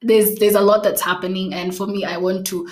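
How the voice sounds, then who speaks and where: A woman's voice: medium pitch, flat delivery, brisk. A young woman whose native language is Swahili, hosting a casual solo podcast, chatty and playful with frequent laughs, fillers and restarts. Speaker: podcast host.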